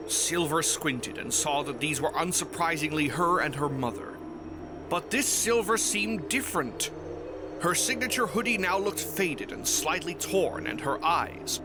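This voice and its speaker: A man's voice: on the high side, noisy background. A man reading scripted story narration as the narrator of a fan fiction tale. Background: music.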